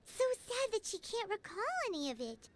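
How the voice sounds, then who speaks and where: A woman's voice: very high voice, sing-song, normal speed, heavy background noise. A woman voicing a tiny, chatty female fairy companion who is bubbly and refers to herself by name. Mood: wistful. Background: game music.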